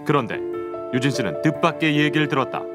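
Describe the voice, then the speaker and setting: A man's voice: on the high side, lively intonation, fast talker, loud music behind the voice. A man reading scripted narration for an investigative programme as its narrator.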